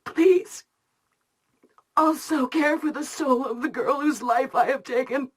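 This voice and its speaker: Video game role: anguished praying mother, half-whispered, very slow, high-pitched, penitent. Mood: tearful, pleading. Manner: breathy, half-whispered, pleading. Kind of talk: dramatic video game monologue.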